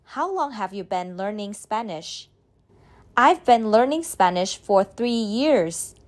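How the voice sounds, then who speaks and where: Woman reading practice lines real slow, bouncy up-and-down voice. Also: medium pitch, lively intonation. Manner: repeats each line slowly and clearly. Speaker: model speaker in a language drill. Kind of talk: slow scripted drill reading.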